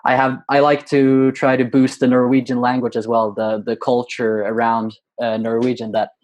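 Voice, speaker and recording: Young Norwegian man, twenties, interview guest; hesitant, filler-heavy, mid-pitched. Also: monotone, normal speed, a little mellow, dull audio. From Norway. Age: 20s. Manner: hesitant, many fillers and restarts. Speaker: guest poet. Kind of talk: casual interview.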